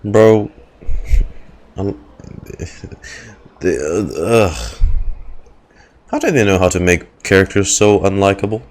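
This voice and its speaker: A man's voice: low voice, slow pace, pauses often. A man giving casual, slangy reaction commentary as a game streamer, often sounding exasperated.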